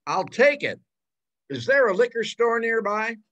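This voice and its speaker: A man's voice: very high-pitched, sing-song, unhurried, a bit bright, dull audio, heavily acted. An older man playing a brash, hard-drinking traveling salesman who fancies himself a ladies' man, in an old-time radio drama. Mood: cocky. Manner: brash, slangy wisecracking. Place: bedroom at home. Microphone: video call.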